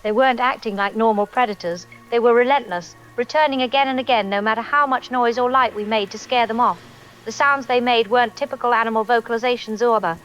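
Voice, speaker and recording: A woman's voice: on the high side, fast, a bit bright, lo-fi sound, slight background noise, natural voice. An actress playing a shaken townswoman giving an earnest eyewitness account on a radio call-in. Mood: unsettled. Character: shaken female townsperson phoning in about an attack.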